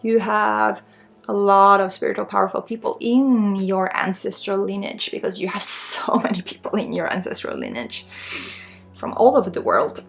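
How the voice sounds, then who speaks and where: A woman with a Swedish accent giving unscripted spiritual guidance in an ASMR healing session, earnest and encouraging, with halting phrasing. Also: medium pitch, creaky, soft background music. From Sweden. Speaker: spiritual guide and energy healer.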